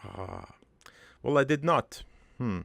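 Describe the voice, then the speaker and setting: A man playing a gruff, crude army veteran turned prankster, telling his regretful life story in a halting, matter-of-fact ramble for an improvised cartoon monologue. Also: mid-range voice, animated, a bit bright, no echo, full character voice.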